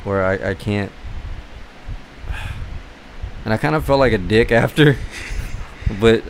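A man chatting casually as a podcast co-host, relaxed and swearing freely. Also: fairly low pitch, slow, a little hiss or hum, poor audio. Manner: relaxed, swears, laughs often. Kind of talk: casual chat.

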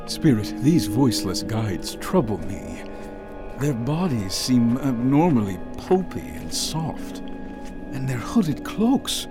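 An actor voices a curious, jumpy man who gasps in wonder and then grows uneasy, in a radio play. His mid-pitched voice swoops up and down in a very lively way. Faint music runs underneath. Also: slow. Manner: excitable, wondering, increasingly uneasy. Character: nervous, curious young man exploring the past. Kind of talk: radio drama acting.

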